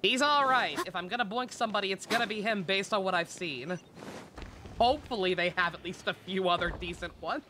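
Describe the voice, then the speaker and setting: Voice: mid-range voice, brisk, pushed and bright, slight background noise. A game streamer giving casual live commentary in a blunt, sarcastic, sweary way.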